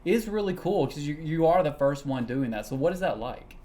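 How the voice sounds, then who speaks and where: A man's voice: fairly high pitch, expressive, fairly quick, mellow. A man interviewing the group for a news station, asking friendly questions with a few "you know"s.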